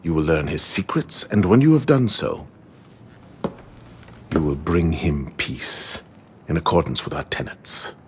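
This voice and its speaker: An actor playing a solemn, authoritative middle-aged leader of assassins in a video game, speaking formally. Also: male voice, rumbling low, big pitch swings, a little hiss or hum, heavily acted. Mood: solemn, commanding. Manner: formal, measured, commanding. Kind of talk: video game cutscene acting.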